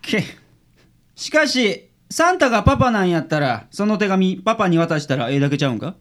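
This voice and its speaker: A woman's voice: fairly low pitch, sing-song, a little fast. Grumpy Kansai detective boss played by a woman. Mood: gruff, dismissive. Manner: gruff, sarcastic, blunt. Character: grumpy, foul-mouthed private detective man. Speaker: radio drama actor. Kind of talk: community radio drama acting.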